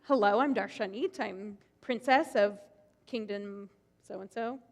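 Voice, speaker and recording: A woman's voice: medium pitch, slow pace. A woman voicing an earnest young princess who keeps pleading for an answer. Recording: long hall reverb.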